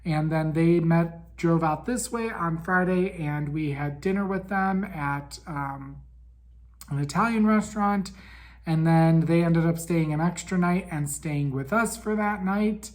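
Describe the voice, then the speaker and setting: A man hosting a casual monthly podcast recap, raspy and weak in breath from a head cold, chatty with frequent ums. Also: a little high, unhurried. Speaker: podcast host.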